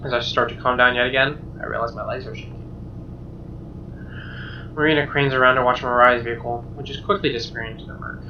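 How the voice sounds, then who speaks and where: A man reading a visual novel aloud as dramatic, expressive narration, with game music behind him. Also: medium pitch, fast, long pauses, muffled recording, background noise. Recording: slightly distant mic under game music.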